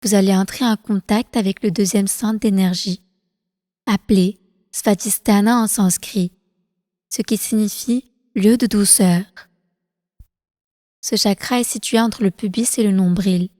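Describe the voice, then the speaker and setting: A woman leading a guided relaxation meditation as instructor, calm and soothing, with slow, deliberate phrasing. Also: mid-range voice, dry recording, studio quality, long reverb tail. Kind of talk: guided prenatal meditation, yoga nidra.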